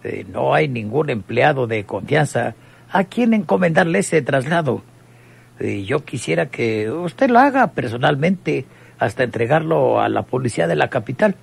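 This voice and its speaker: Formal mayor, gravelly, husky, hesitant hemming, lively intonation, radionovela. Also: male voice, medium pitch, moderate pace, heavily acted. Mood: officious, hesitant. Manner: constant "eh" hesitations, stammering officialese. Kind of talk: old radionovela acting.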